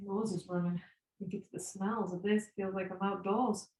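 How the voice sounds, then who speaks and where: A woman with a croaky voice, chatting casually with her co-host while she paints and often trailing off mid-sentence. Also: medium pitch, steady tone, moderate pace, a little mellow, roomy sound, low-quality recording.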